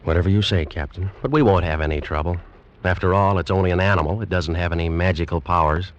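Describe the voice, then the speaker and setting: An actor playing a determined male animal collector who gives brisk, dramatic first-person narration in a 1940s radio drama. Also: sits low, steady tone, lightly acted. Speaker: narrator and lead. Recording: dull old radio recording with music.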